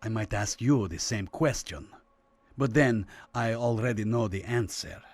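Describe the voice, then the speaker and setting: A courtly, menacing Cold War official. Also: male voice, lowish voice, no room sound. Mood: coolly menacing. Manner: formal, measured, condescending. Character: courtly, menacing Lithuanian communist official and chess master. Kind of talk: Cold War radio drama acting.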